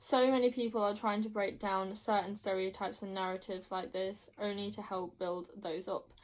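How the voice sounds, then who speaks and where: A woman's voice: mid-range voice, fairly even intonation, normal speed. A young woman chatting casually as a book vlogger, emphatic and gushing, often repeating herself. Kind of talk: casual chat to camera.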